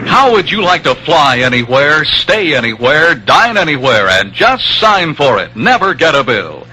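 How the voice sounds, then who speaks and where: A man's voice: fairly high pitch, big pitch swings, unhurried, sharp tone, a little hiss or hum, poor audio. A man reading a scripted 1960s radio commercial with a punchy salesman's delivery. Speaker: commercial announcer. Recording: vintage 1960s radio recording.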